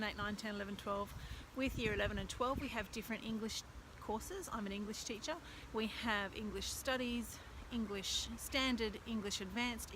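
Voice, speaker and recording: A woman's voice: medium pitch, background noise. A woman in her forties with an Australian accent, an English teacher chatting casually and upbeat to camera for her video channel. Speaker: teacher vlogger.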